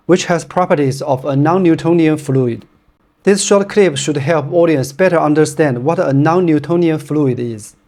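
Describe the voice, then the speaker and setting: Brief: male non-native English speaker, Chinese accent, scripted gear reviewer, steady and direct. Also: on the high side. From China. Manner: plain, straightforward, steady delivery. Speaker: running shoe reviewer.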